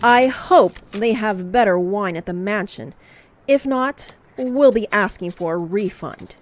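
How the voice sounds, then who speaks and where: Casting: female actor as demanding, sassy tourist wife, medium-pitched, tart and haughty, horror audio drama. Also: measured pace, close to an everyday voice.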